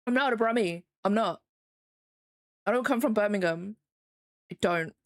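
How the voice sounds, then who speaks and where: A woman's voice: medium pitch, moderate pace, dry recording, clean studio sound. A young English woman with a faint Midlands accent, chatting casually with her live-stream chat while she draws, rambling and self-deprecating. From England.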